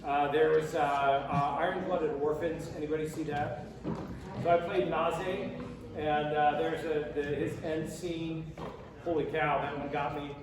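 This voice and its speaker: A man's voice: on the high side, flat delivery, unhurried. A middle-aged American man talking casually and emphatically at a convention Q&A, in an echoing, noisy hall. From Colorado, United States. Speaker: voice actor, panel guest. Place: large indoor convention room with audience. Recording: very echoey noisy hall, distant microphone.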